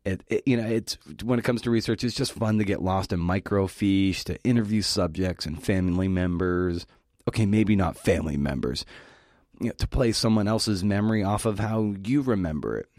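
A man's voice: low-pitched, normal speed, darkish tone, dry recording, clean studio sound. A man hosting a podcast, giving a conversational scripted talk with wry, enthusiastic asides.

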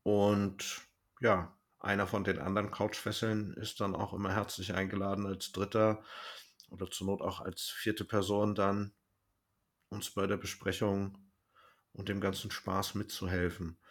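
A man's voice: on the low side, little pitch movement, measured pace, a bit bright. A man hosting a podcast in casual chat, explaining things and thinking aloud as he goes. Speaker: podcast host.

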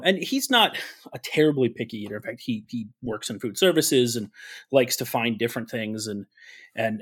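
Halting man sharing a personal story. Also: mid-range voice. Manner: halting, many restarts and fillers. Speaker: podcast co-host. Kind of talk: casual chat.

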